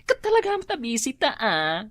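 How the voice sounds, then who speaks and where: An actress playing a gossipy, flattering female office worker in an Ilocano radio comedy, with loud exaggerated exclamations. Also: high voice, moderate pace, dry recording, exaggerated voice. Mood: teasing. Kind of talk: radio comedy drama acting.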